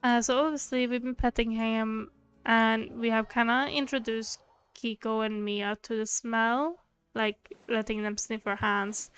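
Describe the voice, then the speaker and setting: A woman's voice: a little high, little pitch movement, measured pace, fairly bright tone, smooth, highs cut off. A woman streamer chatting casually and affectionately while she plays a game. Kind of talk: casual livestream chat. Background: game music.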